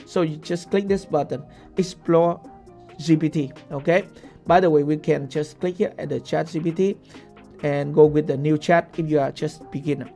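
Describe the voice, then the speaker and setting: A young man whose first language is Vietnamese talks through a software tutorial step by step, often pausing to ask "okay?". His voice sits a little high with a gravelly fry. Faint music plays quietly beneath him in a small room. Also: fairly even intonation. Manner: explains step by step with frequent "okay?". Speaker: tutorial host. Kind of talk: screen-share software tutorial.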